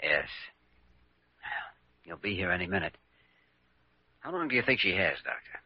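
Calm, low-voiced earnest doctor. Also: male voice, husky, dry recording, full character voice. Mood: sober, regretful. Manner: calm, measured, sober. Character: earnest, composed young male hospital doctor. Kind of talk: old-time radio drama acting. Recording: dull vintage radio recording.

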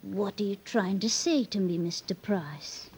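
A woman's voice: medium pitch, unhurried, darkish tone, husky. An actress playing a modest young servant girl, shy and hesitant, in an old-time radio drama. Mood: flustered.

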